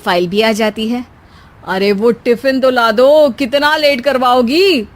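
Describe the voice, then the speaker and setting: A woman's voice: on the high side, slow pace. Woman voicing a bossy teasing husband. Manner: bossy, joking, orders his wife around. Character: bossy teasing young husband, office worker. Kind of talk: cartoon voice acting.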